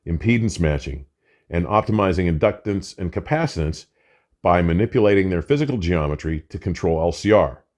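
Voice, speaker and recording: An older man presenting a scripted product review in a measured, emphatic way with formal wording. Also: deep voice, darkish tone. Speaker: audio equipment reviewer and host.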